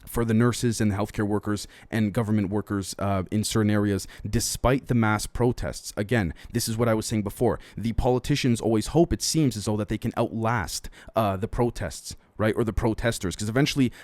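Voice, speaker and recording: A man's voice: on the low side, steady tone, fairly quick, hardly any pauses, a little mellow, dry recording. A man hosting a solo podcast, running through news items with opinionated, speculative commentary and often speaking directly to his listeners. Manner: frequently addresses listeners directly. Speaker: podcast host.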